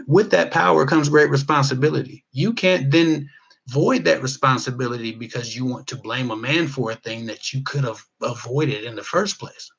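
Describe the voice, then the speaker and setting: A man's voice: mid-range voice, fairly bright tone, low-quality recording. An American man from the Midwest giving casual, unscripted advice to viewers in an emphatic, rambling way, repeating words to drive his points home. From Midwestern US (Ohio). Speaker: relationship advice vlogger.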